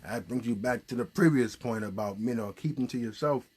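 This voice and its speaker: A man's voice: medium pitch, a little fast, a little mellow, husky. A man hosting a puppet show, chatting casually and encouragingly and prompting with questions. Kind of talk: casual, unscripted chat.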